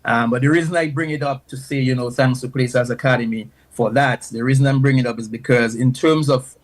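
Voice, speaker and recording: A man's voice: medium pitch, fairly even intonation, fairly quick. A man hosting a radio talk show, asking his guests questions in a loose, conversational way.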